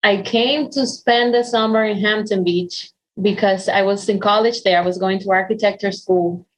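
A woman's voice: medium pitch, fairly even intonation, moderate pace, rough recording. A woman in her forties from the Dominican Republic with a Dominican Spanish accent, telling her story in an interview, earnest and full of ums and restarts. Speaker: interview guest. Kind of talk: conversational interview.

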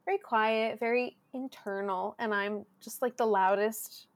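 A woman from Southern California, a podcast guest chatting casually and acting out quotes in a loud, lively way. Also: a little high, unhurried. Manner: loud, animated storyteller who acts out quotes.

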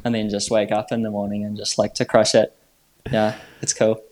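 Laid-back young man, lowish voice. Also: flat delivery, fairly quick, a little mellow, slight background noise. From New Zealand. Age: 20s. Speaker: podcast guest, trail runner. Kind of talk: casual chat.

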